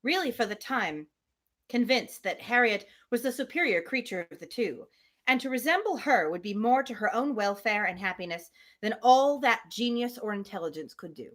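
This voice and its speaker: Lively-voiced woman reading aloud. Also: fairly high pitch, normal speed. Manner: chatty, self-interrupting asides to listeners. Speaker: livestream host reading a novel aloud. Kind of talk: live read-aloud of a classic novel with casual asides.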